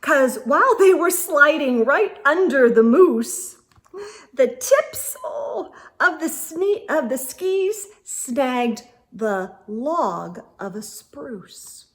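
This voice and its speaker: A woman's voice: high voice, animated, very slow. A woman reading a children's picture book aloud as a storytime host, with theatrical, suspenseful delivery and little asides. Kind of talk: expressive children's read-aloud narration.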